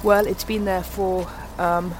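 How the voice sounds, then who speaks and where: A woman who is a churchgoer speaking thoughtfully and hesitantly, with many pauses. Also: mid-range voice, flat delivery. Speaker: churchgoer on the way to market. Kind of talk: casual street interview. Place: outdoors in a town. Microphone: interviewer's handheld recorder. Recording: outdoor recording with clear background noise.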